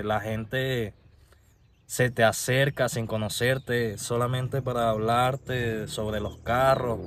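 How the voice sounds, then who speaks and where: A young man from Venezuela with a Venezuelan Spanish accent, talking casually to a phone camera from inside his parked car, relaxed and chatty. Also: medium pitch, a little hiss or hum. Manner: chatty, relaxed, repeats "de verdad" often. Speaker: vlogger. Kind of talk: casual vlog talk to camera. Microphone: phone held at arm's length.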